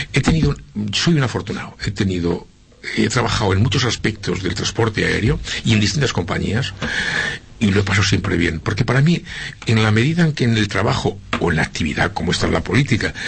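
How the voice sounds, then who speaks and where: Middle-aged Spanish politician, husky rough voice, reflective and halting in interview. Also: male voice, deep voice. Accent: Spanish, with a slight Argentine lilt. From Spain. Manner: earnest, reflective, with frequent hesitations and repeats. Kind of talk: interview conversation.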